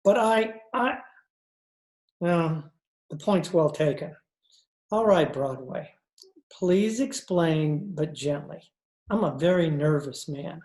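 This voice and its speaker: An actor playing a cultured, nervous judge and pool hustler, speaking in a fussy, theatrical old-time radio style. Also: male voice, on the high side, expressive, slow pace, pauses often, a little mellow. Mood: resigned, courtly. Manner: pompous, fussy, theatrical speech. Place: indoor room, recorded over video call. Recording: roomy, echoing video-call recording.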